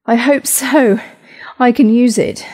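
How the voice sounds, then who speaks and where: A woman's voice: fairly high pitch, fairly even intonation, slow, a little mellow, rough recording. A woman playing a weary, irritable young woman traveller in an audio drama, sighing and sarcastic. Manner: exasperated, sarcastic sighing delivery.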